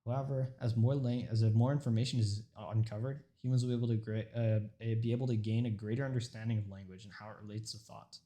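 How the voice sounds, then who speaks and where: A young man whose first language is Urdu gives a prepared informative speech, earnest and mostly steady, with a few stumbles and restarts as he reads. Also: lowish voice, quick pace, hardly any pauses. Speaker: student speaker.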